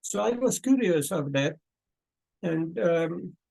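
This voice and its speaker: Guy hosting a call, kinda high voice, really slow, lots of uhs and pauses. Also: lively intonation, creaky, a little mellow, rough recording. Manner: slow and thoughtful, with many pauses and fillers. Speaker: host. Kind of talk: casual talk at an online meeting.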